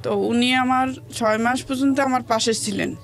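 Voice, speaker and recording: A woman's voice: on the high side, fairly even intonation, normal speed. A woman with a colloquial Bangladeshi accent giving personal testimony as an interview guest, plainly recounting events and quoting what others said. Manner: plain colloquial telling, quotes others' words. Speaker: interview guest telling her life story. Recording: music under the voice.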